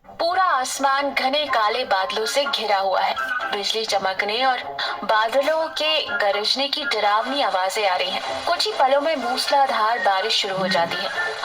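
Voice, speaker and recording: A woman's voice: a little high, low-quality recording. A woman reading scripted story narration as the narrator of a moral tale.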